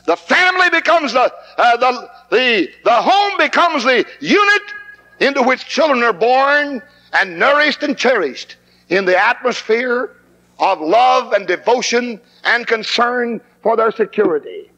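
Man, preacher, high voice, fervent camp meeting sermon, old 1960s tape, reverberant hall. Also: big pitch swings, unhurried, sharp tone. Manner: fervent, rising into emphatic preaching.

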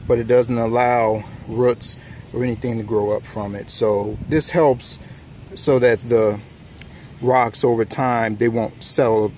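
A man's voice: medium pitch, noisy background. A man casually walking through his yard work on a handheld phone camera, talking loosely with lots of fillers and restarts, outdoors. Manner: relaxed, rambling, many fillers and restarts. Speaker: home improvement vlogger. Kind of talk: casual filming-as-he-works walkthrough. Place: outdoors in a home front yard.

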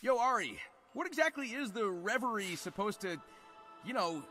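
Cocky upbeat game hedgehog, youthful high voice, breezy, music behind. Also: male voice, animated, moderate pace, sharp tone. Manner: breezy, wisecracking. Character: Sonic, cocky upbeat teenage hedgehog hero. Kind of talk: animated video game cutscene acting. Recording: music under the voice.

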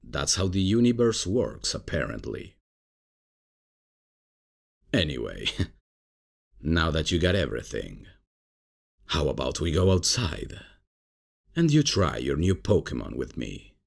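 A man playing a laid-back, bumbling substitute professor in a comedic ASMR roleplay, casual and hesitant, with a deep, gravelly, husky voice. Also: wildly swooping pitch, takes it slowly, long pauses, exaggerated voice. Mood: casual, amused. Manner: casual, hesitant, chuckling, unprofessional. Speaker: roleplay audio performer.

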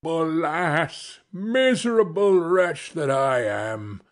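Guy doing a mean old-tale cart driver, husky, grumbly and sorry for himself, drags his words out. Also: a little high, slow pace, heavily acted. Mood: wretched, lamenting. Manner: grumbling, lamenting. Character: gruff, brutish male carter, scornful then self-pitying.

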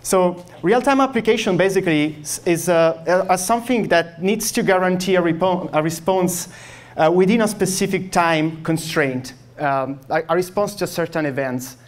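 Italian-accented man giving a tech talk. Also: high voice. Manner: halting, many fillers and restarts. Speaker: software engineer giving a meetup talk. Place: meetup room with projector, audience. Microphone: handheld microphone.

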